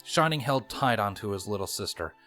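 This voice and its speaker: A man's voice: fairly low pitch, expressive, fairly quick, bright, forceful tone. A man reading scripted story narration as a storyteller. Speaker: narrator reading fan fiction. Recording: music playing behind the voice.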